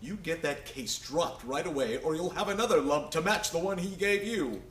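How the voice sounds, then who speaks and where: An actor playing a blustering, commanding department store boss in a radio play, brusque and emphatic, in an echoing room. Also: male voice, fairly high pitch, moderate pace, a bit bright, close to an everyday voice. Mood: angry, commanding. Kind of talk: stage-style radio play acting.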